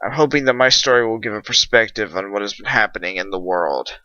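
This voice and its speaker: An eager, nervous pegasus lieutenant recounts their story, voiced by a man in audiobook fashion. The delivery is bright and tense, close and dry on a slightly dull recording. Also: lowish voice, quick pace, no echo. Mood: earnest. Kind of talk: first-person audiobook narration.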